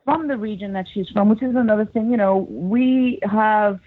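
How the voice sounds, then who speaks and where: Animated woman, tinny phone-line voice. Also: medium pitch, moderate pace, breathy edge, dry recording. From United States. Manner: animated storyteller, acts out quotes, laughs often. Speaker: actress, interview guest. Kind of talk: casual interview chat.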